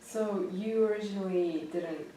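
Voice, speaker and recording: A young woman hosting a casual campus interview, chatty and quick to giggle, with many fillers. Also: mid-range voice, dark tone, a little hiss or hum. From grew up in Japan. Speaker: host. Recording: echoey room, mic at a distance.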